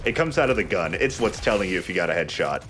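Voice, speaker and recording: A man's voice: low voice, animated, quick pace, a bit bright, soft background music. A man giving casual gameplay commentary as host of a let's play, explaining mechanics as he goes. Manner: explains game mechanics as he plays.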